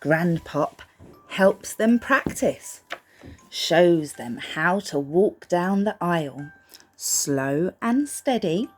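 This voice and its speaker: A woman reads a picture book aloud expressively, gently reassuring young listeners and putting questions to them. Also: lowish voice, animated, extremely slow, soft background music. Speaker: storybook reader for young children.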